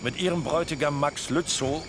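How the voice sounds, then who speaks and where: An actor playing a ship's captain who officiates formally and declaims in a radio play. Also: male voice, on the high side, fairly quick, noisy background, close to an everyday voice. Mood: formal, solemn. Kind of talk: German radio play acting.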